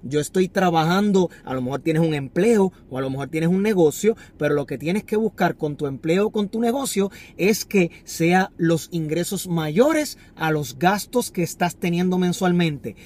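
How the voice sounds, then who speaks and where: Man, 30s, fairly high voice, earnest finance coach filmed by phone from a car seat. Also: animated, normal speed, hardly any pauses, darkish tone. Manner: earnest, instructive, repeats key phrases. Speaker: finance vlogger. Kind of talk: motivational talk to camera. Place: inside a parked car. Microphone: phone camera on the dashboard.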